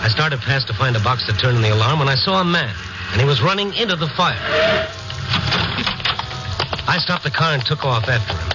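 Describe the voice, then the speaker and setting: An actor playing a world-weary, wry American newspaper reporter, narrating a 1950s radio noir drama in a moody, hard-boiled manner. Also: male voice, mid-range voice, animated, fast talker, very noisy, lightly acted. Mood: tense. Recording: muffled old radio recording with music.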